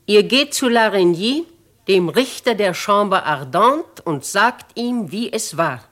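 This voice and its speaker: An actress with diction playing a shrewd, kindly elderly noblewoman in a 1950s radio drama, deliberate and theatrical. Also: mid-range voice, animated, fairly bright tone. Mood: firm, commanding.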